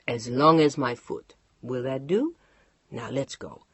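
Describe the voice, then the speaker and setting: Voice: medium pitch, takes it slowly, breathy edge, dry recording, voice pushed down. A reader voicing an exasperated middle-aged businessman who talks curtly and sighs, in an audiobook. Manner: curt, sighing, impatient. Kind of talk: audiobook character voice.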